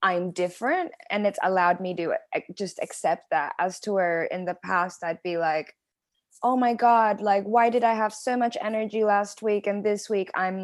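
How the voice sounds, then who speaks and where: A woman in her twenties from Venezuela, a podcast guest who chats casually with shy giggles and hesitant fillers. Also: medium pitch. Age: 20s. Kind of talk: casual interview chat.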